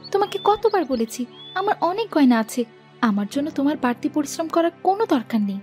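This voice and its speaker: A woman voicing a caring, gently chiding young village wife in a fairy tale cartoon. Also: high voice, expressive, a little fast, faint music behind. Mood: fond scolding. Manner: fond, chiding, fussing.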